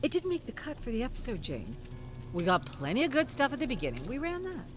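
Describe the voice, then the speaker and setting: A dismissive, stubborn TV producer, read by a game narrator with curt, clipped replies. His lines swing about in pitch, with game music clearly behind. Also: huge pitch swings, quick pace. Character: stubborn male TV show producer.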